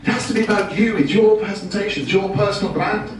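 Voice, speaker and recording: A British man with a fairly high voice gives a business talk full of wry, self-deprecating jokes. He is picked up from a distance, so his voice rings through a heavily reverberant function room with faint noise underneath. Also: fast talker. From United Kingdom. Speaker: presenter. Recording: very echoey room, distant mic.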